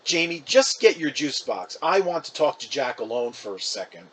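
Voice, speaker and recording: A man's voice: medium pitch, very animated, normal speed, a little hiss or hum, lightly acted. A man voicing a disappointed, scolding mother of two young boys in a storybook, stern and earnest.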